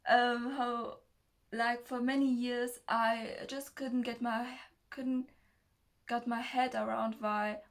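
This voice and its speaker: Slow, hesitant young German woman. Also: a little high. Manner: hesitant, nervous, halting. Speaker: self-development video creator. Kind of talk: casual personal talk to camera.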